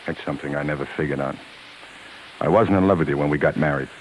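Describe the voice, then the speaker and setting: Deep-voiced earnest husband, old radio drama. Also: male voice, quick pace, rough recording. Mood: remorseful confession. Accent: American. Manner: hesitant, earnest. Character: guilt-ridden proud husband, struggling stage performer, earnest and self-reproaching. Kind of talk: 1950s radio drama acting. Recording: hissy 1950s radio broadcast recording.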